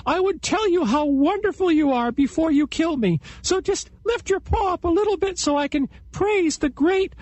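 A man voicing a small boastful male chipmunk in a storytelling, in a squeaky, very high sing-song voice. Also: no echo. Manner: sing-song taunting, fast wheedling pleas.

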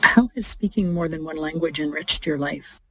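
A woman's voice: low-pitched, normal speed. A woman hosting an interview podcast, reading introductions and putting questions to a guest.

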